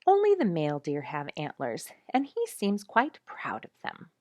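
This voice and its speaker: A woman reading an animal storybook aloud in an expressive, animated storytelling manner, as if for listeners following the pictures. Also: low voice, normal speed, dry recording, studio quality. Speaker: storybook reader.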